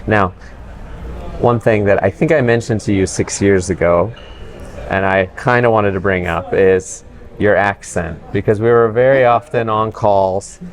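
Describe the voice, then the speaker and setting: A man's voice: on the low side, measured pace. A man hosting an interview podcast, talking conversationally and encouraging his guest. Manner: conversational, encouraging, frequent backchannels. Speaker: host. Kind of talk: casual interview.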